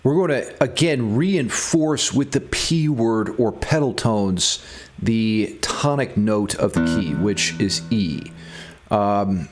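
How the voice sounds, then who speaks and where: A man giving a casual guitar theory tutorial, thinking aloud and enthusiastic about the material as he explains it. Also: lowish voice. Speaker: guitar music theory teacher.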